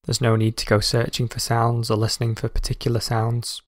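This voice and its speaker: A man calmly guiding a scripted meditation in a measured, instructional way. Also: medium pitch, dry recording, clean studio sound. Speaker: meditation guide.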